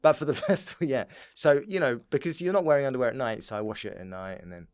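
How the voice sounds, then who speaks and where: A man's voice: medium pitch, fast, darkish tone, clear, resonant tone. A man speaking as a comedian guest on a podcast, chatting casually in a rambling, jokey way. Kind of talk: casual comic chat.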